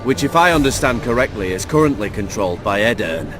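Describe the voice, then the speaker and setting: A man's voice: lowish voice, expressive, brisk, lightly acted. Male actor as sneering game monarch, swooping intonation, condescending, theatrical, music behind. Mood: probing. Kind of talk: video game dramatic dialogue.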